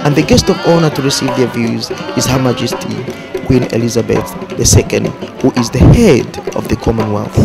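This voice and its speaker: A man narrating a documentary voice-over in a formal, lively way. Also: medium pitch, a little fast, hardly any pauses. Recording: voice-over with clear background music.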